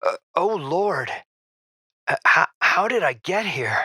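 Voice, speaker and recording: A man's voice: fairly high pitch, sing-song, takes it slowly, pushed and bright, husky, no room sound, clean studio sound, exaggerated voice. An actor playing an indignant, flustered male celebrity guest in a radio drama, stammering as he talks. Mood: shaken, confused.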